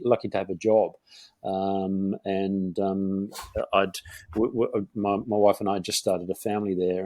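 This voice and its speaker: Middle-aged guy, faded geordie accent, low voice, lots of ums and restarts, dry close sound. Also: a little mellow, no echo. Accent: Geordie softened by years in New Zealand. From Northeast England. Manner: rambling with many fillers and restarts. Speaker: podcast guest. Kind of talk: conversational interview.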